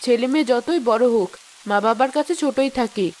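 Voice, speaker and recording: A woman's voice: on the high side, a little fast, darkish tone. A woman voicing a stern mother-in-law in a storybook cartoon, scolding and old-fashioned. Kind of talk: cartoon voice acting.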